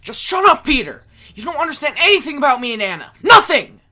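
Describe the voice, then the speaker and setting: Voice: high voice, sing-song, fast, bright, forceful tone, full character voice. An amateur actor playing an angry, defensive young Hitler Youth member in an audio drama, talking in a heated, defiant way.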